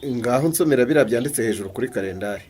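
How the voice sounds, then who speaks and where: A man's voice: mid-range voice, lively intonation, racing, a little mellow, slightly rough. An actor playing a grown son who teases his father. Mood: teasing. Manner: teasing, light.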